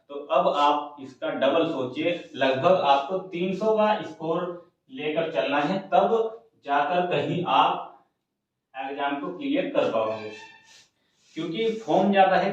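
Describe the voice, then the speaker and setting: A man giving informal exam guidance to students in an instructive way, repeating points for emphasis. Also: high voice. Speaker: exam coaching YouTuber. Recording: very echoey room, distant mic, muffled.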